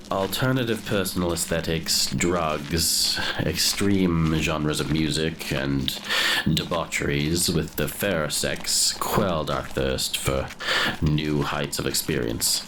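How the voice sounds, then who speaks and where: A man playing a haunted, guilt-ridden young grave robber who narrates his ruin in a brooding, deliberate way for an audio drama, with noise behind him. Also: rumbling low, full character voice. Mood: grim, reflective. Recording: clear background noise under the voice.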